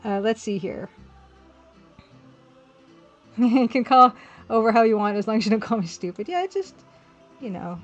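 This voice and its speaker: Female streamer, fairly high voice, informal think-aloud chat while drawing, faint music underneath. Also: expressive, normal speed, long pauses, highs cut off. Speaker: livestream host and pixel artist.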